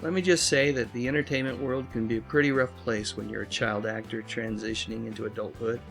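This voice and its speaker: A man hosting and narrating a scripted video essay, with earnest, dramatic phrasing and drawn-out endings. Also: mid-range voice, fairly even intonation, gravelly, fairly bright tone. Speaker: host, pop culture commentator. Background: music bed.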